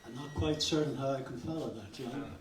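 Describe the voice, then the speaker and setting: A man's voice: medium pitch, brisk. An older man giving an informal, joking and effusive guest speech at a cultural evening. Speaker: guest speaker. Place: function hall. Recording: roomy hall from a distance, background noise.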